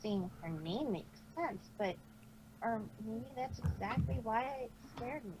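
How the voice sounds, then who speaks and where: Woman voicing a high-pitched brooding pony mare, noisy mic. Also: animated. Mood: conflicted, guilty. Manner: brooding, halting, drawn-out self-questioning. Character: proud female cartoon unicorn pony, sulky and guilt-ridden. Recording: noisy amateur microphone recording.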